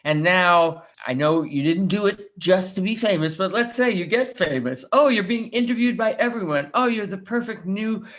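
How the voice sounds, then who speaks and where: A woman's voice: medium pitch, animated, moderate pace, hardly any pauses. A woman hosting a casual interview podcast, talking informally and thinking aloud as she forms her questions.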